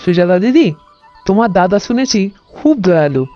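A woman's voice: mid-range voice, expressive, normal speed, warm tone, soft background music, natural voice. Woman acting a coaxing village woman, lo-fi sound. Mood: gentle coaxing. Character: gentle, helpful village woman, sympathetic friend of the sister. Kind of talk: cartoon morality tale dialogue.

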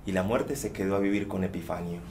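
A man performing a folktale on stage, speaking rhythmically and repeating phrases, often sinking to hushed tones. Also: sits low, quick pace, slight background noise. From Colombia. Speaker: storyteller. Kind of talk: theatrical oral storytelling. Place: dark theater stage.

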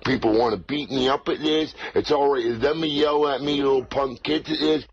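A man with a husky voice rambling on a call in a halting, repetitive, confrontational way with odd broken phrasing. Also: mid-range voice, steady tone, a little hiss or hum. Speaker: prank call caller, played through a soundboard. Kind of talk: rambling phone talk. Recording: dull lo-fi soundboard clips.

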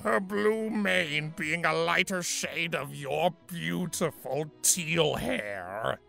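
A performer voicing an ancient male centaur villain in a cartoon story, lovesick and doting, gravelly and theatrical. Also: medium pitch, takes it slowly, pushed and bright, soft background music, exaggerated voice. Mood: adoring. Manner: theatrical, syrupy, grandiose. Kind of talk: cartoon fan fiction voice acting.

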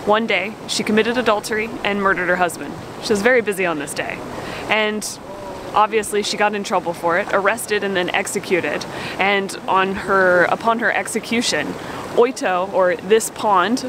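A woman in her thirties telling stories as a travel vlogger, filmed outdoors on a handheld camera at arm's length. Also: medium pitch. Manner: chatty, playful storyteller. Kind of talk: casual travel vlog narration. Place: outdoors at steaming volcanic hot springs. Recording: outdoor wind and steam noise. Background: hissing steam vents.

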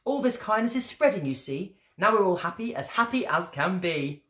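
A woman's voice: mid-range voice, lively intonation, moderate pace, a bit bright, echoey room, natural voice. A woman voicing an affectionate storybook mouse wife who explains things tenderly. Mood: proud, affectionate.

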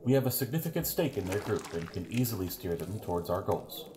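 A man's voice: deep voice, sing-song, fairly quick, a crowd in the background. A man playing a formal, obsequious henchman reporting schemes to his master in an audio drama. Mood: deferential, scheming. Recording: noisy covert room recording.

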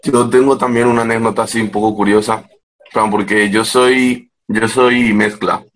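A young man from Madrid on a video call, telling stories in a relaxed way and acting out what people say to him. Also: lowish voice, moderate pace. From Spain, Madrid. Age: young adult. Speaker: panelist. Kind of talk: casual chat on a video call.

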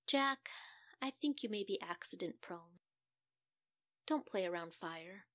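A woman's voice: medium pitch, lively intonation, takes it slowly, fairly bright tone, dry recording. A firm, admonishing fairy-tale doctor played by a woman storyteller. He is caring yet exasperated, and the sound is close and dry. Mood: matter-of-fact.

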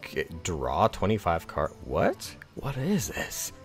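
A man's voice: sits low, wildly swooping pitch, takes it slowly, slightly rough, soft background music. A man doing casual gameplay commentary with a slightly congested, froggy voice, rambling, chuckling and thinking aloud. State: congested, a bit froggy from the sniffles. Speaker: gaming YouTuber.

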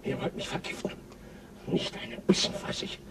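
A man's voice: moderate pace, far from the mic, crowd noise, close to an everyday voice. An actor playing a bound, hostile crewman who curses and threatens in a radio play. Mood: paranoid snarling. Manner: snarling, threatening. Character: bound, hostile male crewman, paranoid and cursing.

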